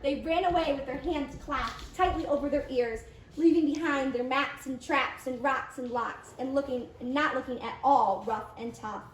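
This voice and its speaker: A woman's voice: high voice, moderate pace. A woman narrating a scripted children's storybook as part of a readers' theater. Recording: echoey room, distant, faint noise.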